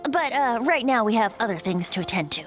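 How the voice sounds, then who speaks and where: A woman's voice: fairly high pitch, very animated, brisk, a bit bright. A breezy young male bard. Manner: breezy, old-fashioned phrasing. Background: game music.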